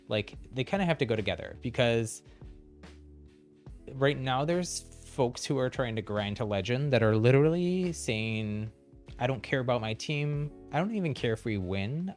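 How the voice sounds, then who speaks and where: A man hosting a live video game stream, chatting casually as he plays. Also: medium pitch. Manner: chuckles often while talking. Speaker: livestream host playing a video game.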